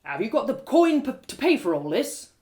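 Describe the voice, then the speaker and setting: A woman's voice: on the high side, sing-song, normal speed, a little mellow, voice pushed up. Woman putting on an obliging male tavern barkeep. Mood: doubtful.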